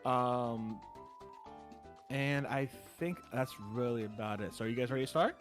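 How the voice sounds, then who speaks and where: A man's voice: mid-range voice, normal speed, soft background music. A man running a tabletop story game on a voice call, explaining the rules casually and reading the world lore in a solemn storybook tone. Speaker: game facilitator.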